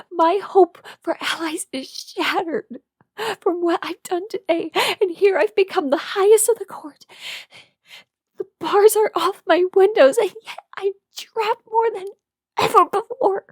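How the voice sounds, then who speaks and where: A high-pitched, slow-speaking young queen played by a woman in a historical audio drama. She is proud yet trapped and distraught, with halting, emotional phrasing. The recording is close and dry. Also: no room sound. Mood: bitter, despairing. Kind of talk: historical romance audio roleplay, dramatic monologue.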